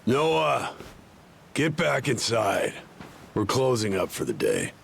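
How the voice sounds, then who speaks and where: A man's voice: mid-range voice, wildly swooping pitch, takes it slowly, vocal fry, a little hiss or hum, full character voice. An actor playing a bitter, protective, hot-tempered middle-aged father in a video game. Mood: stern, wary.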